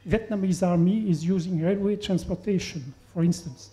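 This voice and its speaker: A man from Belgium stands up from the audience to put a careful, drawn-out question to a panel. His voice is mellow and darkly toned, and he repeats key points for emphasis. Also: high-pitched. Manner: slow, deliberate, repeats for emphasis. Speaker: audience member asking a question. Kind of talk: formal question at a panel event.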